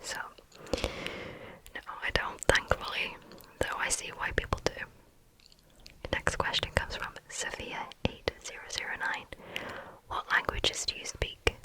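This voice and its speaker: A woman answering viewer questions in a frank, chatty ASMR whisper, often pausing on "um". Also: poor audio. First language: English.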